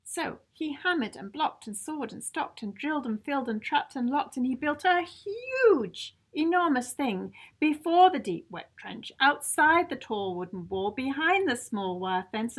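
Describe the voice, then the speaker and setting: A woman with a fairly high voice reads a children's storybook aloud. She takes her time, pacing it very slowly. Her delivery is theatrical and playful, with repeated sound words and key adjectives stressed for young listeners. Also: big pitch swings. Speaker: children's book reader.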